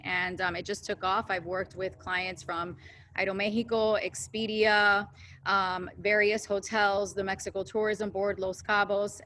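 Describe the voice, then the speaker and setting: A woman speaking in a flat, even voice, introducing herself on a video call panel. She talks steadily with some fillers, and faint room noise sits behind her. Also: lowish voice. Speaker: PR executive, panelist.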